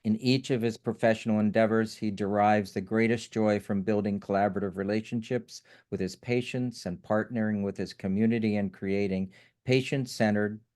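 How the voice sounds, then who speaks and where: A man hosting an online webinar, giving housekeeping notes and reading a speaker introduction in a steady, slightly hesitant way. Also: on the low side, moderate pace. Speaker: webinar host.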